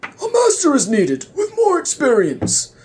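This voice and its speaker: A man voicing an ancient, tiny, wise master in a slow, drawn-out parody sci-fi voice. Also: very high voice, wildly swooping pitch, a little mellow, pitched above the performer's own voice, heavily acted. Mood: solemn.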